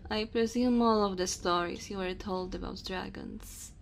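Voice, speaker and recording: A woman voicing a dominant, teasing female dragon in an ASMR roleplay, speaking slowly and theatrically to the listener. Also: mid-range voice, steady tone, creaky, close to an everyday voice. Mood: amused, proud. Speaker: ASMR voice performer. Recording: faint cave ambience noise. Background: cave and fire sound effects.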